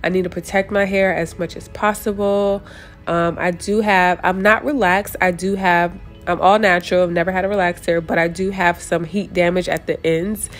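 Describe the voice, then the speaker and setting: A woman's voice: fairly low pitch, steady tone, moderate pace, soft background music. A young woman with a stuffy, congested voice, casually walking viewers through a hair tutorial while she works. She chats loosely and often stops to correct herself. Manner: chatty, talks while working, often restarts and corrects herself. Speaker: hair vlogger.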